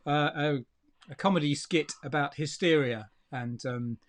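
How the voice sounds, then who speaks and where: A British man with a slightly high voice co-hosts an online show. He chats casually, feeling his way through sentences with informal enthusiasm. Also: a little slow. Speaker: co-host of an online variety show. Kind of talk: casual chat and introductions.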